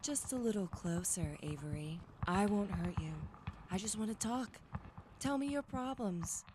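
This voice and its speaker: A woman playing a seductive, predatory sea monster in an audio drama, coaxing slowly and softly. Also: mid-range voice, expressive, noisy background, close to an everyday voice. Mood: coaxing, sinister. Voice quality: soothing, coaxing voice. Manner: slow, coaxing, calling softly.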